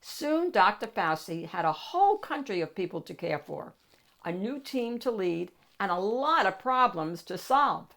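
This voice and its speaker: A woman narrating a children's picture book in an expressive, emphatic storytelling manner with deliberate phrasing. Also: medium pitch. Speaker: storybook reader, read-aloud host.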